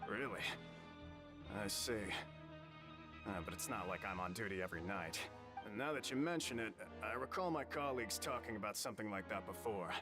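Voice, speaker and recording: An actor playing a matter-of-fact, mildly stern prison guard in a video game, explaining things in a measured way. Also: male voice, lowish voice, expressive, over music, natural voice. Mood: hesitant, confiding.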